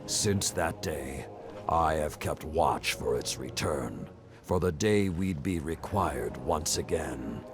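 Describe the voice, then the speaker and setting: A battle-worn old warlord from a video game, very deep and commanding. His delivery is stern and formal, rising and falling dramatically, with a musical score behind it. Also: expressive, slow pace, over music, heavily acted. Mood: solemn. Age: older.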